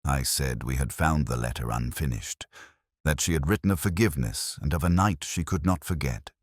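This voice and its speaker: A middle-aged man reading a scripted first-person detective story in a measured, brooding manner, with deliberate pauses. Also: very deep voice, no room sound. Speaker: narrator.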